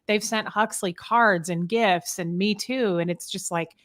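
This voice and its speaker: A woman's voice: medium pitch, a little slow, fairly bright tone. A woman hosting a casual chat, speaking candidly in a chatty, personal storytelling way. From American Midwest. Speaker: podcast host. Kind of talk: casual conversation.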